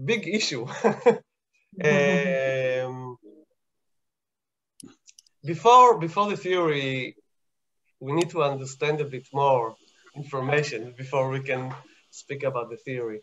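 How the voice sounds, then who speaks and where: A man's voice: medium pitch, slow, long pauses, a bit bright, highs cut off. A man with an Israeli Hebrew accent, a scientist explaining things to children in a casual, friendly way, laughing often and checking in with "right?" and "you know?". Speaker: scientist answering children's questions.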